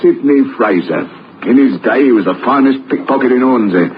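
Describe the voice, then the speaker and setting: A man's voice: medium pitch, expressive, brisk, natural voice. A slightly noisy, muffled recording carries a man acting a police constable in a radio play. He is brusque, suspicious and officious, with a chatty, swooping delivery. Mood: scornful, chatty.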